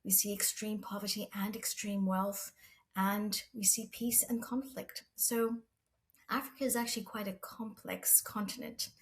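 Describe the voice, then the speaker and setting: A middle-aged woman who grew up in Zambia, speaking as a podcast guest. She talks in an earnest, reflective way with a measured pace. Her voice sits at a medium pitch. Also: level tone. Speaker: guest, pharma medical executive. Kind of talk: interview storytelling.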